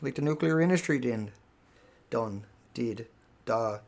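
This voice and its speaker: A man's voice: mid-range voice, lively intonation, a little slow, darkish tone. A middle-aged man hosting a live stream, talking casually and emphatically, rambling and repeating himself.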